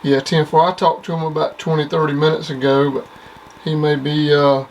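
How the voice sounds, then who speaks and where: A man's voice: fairly high pitch, flat delivery, normal speed. A middle-aged or older man, chatting casually and plainly in CB radio jargon. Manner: plainspoken, uses repeated CB jargon. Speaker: CB radio operator. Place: at a home CB base station. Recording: faint background noise.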